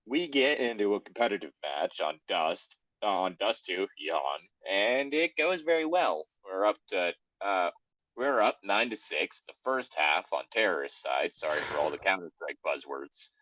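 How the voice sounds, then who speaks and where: A man's voice: low voice, animated, normal speed, pushed and bright, no echo, rough recording. A man in a casual group chat, reading a story aloud with sweary, self-deprecating asides.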